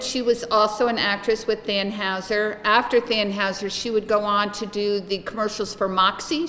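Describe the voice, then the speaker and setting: Booming, lively woman historian lecturing. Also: a little hiss or hum. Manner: lively, chatty, projecting to a room. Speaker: guest lecturer, local historian. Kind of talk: public lecture with slides. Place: lecture room with audience. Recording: echoey room, some reverb.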